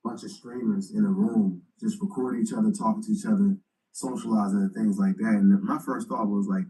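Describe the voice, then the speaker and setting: A man's voice: lowish voice, fairly even intonation, fairly quick, vocal fry, warm tone, recorded from a distance, rough recording. A man giving loose, slangy commentary as a YouTuber, often repeating himself as he thinks. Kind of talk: casual commentary.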